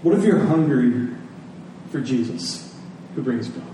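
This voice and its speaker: A man's voice: medium pitch, animated, unhurried, warm tone. A man preaching a sermon to a congregation, using rhetorical questions and repeated phrases for emphasis. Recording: very echoey room, distant mic, faint noise.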